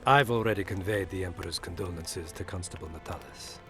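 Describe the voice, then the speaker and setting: Low-voiced, guarded imperial ambassador. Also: male voice, a bit bright. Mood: formal, guarded. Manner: formal, measured, insinuating diplomat. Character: suave, guarded middle-aged male imperial ambassador. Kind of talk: video game dialogue acting. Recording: music behind the voice.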